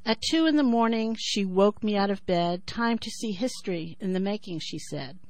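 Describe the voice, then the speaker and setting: A woman in her sixties with a New York accent who talks thoughtfully with many pauses and then reads her poem in a measured rhythm. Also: mid-range voice, expressive, a little mellow, no echo. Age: 60s. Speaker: poet and writer. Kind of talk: interview and poetry reading.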